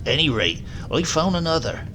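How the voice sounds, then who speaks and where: A man voicing a gruff, unrepentant old countryman who tells tall tales in thick rustic speech. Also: fairly high pitch, big pitch swings, normal speed, background noise, voice pushed up. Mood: relishing. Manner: dialect-heavy, crusty, grumbling. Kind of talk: storybook reading.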